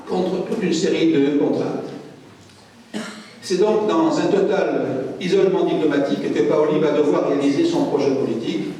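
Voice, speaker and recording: A man's voice: on the high side, dark tone. A man whose first language is Corsican, giving a public history lecture in a conversational way with frequent asides to the audience. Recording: echoey hall, mic far off.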